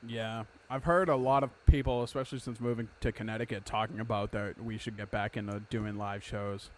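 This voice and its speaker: A man's voice: lowish voice, fairly even intonation, fairly quick, a little hiss or hum. A man in his thirties hosting a podcast, chatting casually in an emphatic, profane way and giving advice. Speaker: podcast host.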